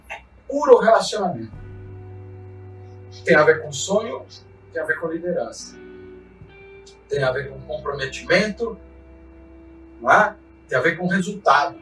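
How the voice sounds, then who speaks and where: A man speaks in an earnest, reflective way, tossing in little tag questions as he goes. His voice sits a bit high and comes from some distance off the mic in a roomy, echoing space. Soft guitar music plays underneath. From Chile. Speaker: mountaineer and expedition guide. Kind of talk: reflective motivational talk.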